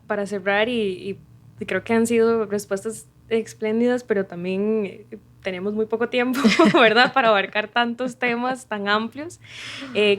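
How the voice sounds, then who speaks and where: Young Costa Rican woman interviewing. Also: medium pitch. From Costa Rica. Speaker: student interviewer. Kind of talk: conversational interviewing.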